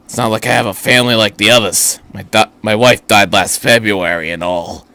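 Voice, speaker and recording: A man's voice: on the low side, steady tone, pushed and bright, dry recording. Man reading as weary older working man. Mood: weary, reflective. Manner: plain-spoken, reflective, halting. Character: gruff, weary older widowed Japanese factory worker.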